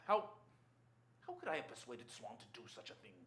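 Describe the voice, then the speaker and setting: A tense, guarded husband, very animated. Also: male voice, mid-range voice, moderate pace, a little hiss or hum. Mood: incredulous. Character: tense, evasive husband of a condemned woman. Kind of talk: stage radio drama acting.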